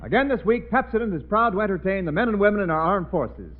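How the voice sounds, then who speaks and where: A man's voice: high-pitched, expressive, quick pace, soft background music. An American man announcing a 1940s radio show and its sponsor in a showy, declamatory style. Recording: tinny 1940s radio broadcast.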